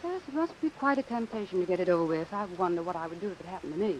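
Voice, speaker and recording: A woman's voice: on the low side, lively intonation, rapid-fire, highs cut off, close to an everyday voice. An actress playing an embittered, ambitious woman doctor in an old-time radio suspense drama, speaking in a cool, clipped, sardonic way. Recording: hissy vintage radio recording with rain. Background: hiss and falling rain.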